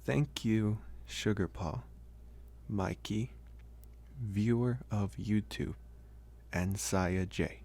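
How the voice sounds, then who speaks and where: A man's voice: fairly low pitch, very slow, darkish tone. A man who voice acts, talking casually to his listeners as host in an out-of-character outro. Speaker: voice actor and channel host.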